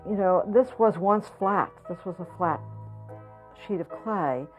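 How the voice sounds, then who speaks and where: A woman's voice: lowish voice, measured pace, soft background music, low-quality recording. An older woman, a potter, talking reflectively in an interview, often searching for words and repeating herself. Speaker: potter and art school founder. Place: studio or home with pottery shelves.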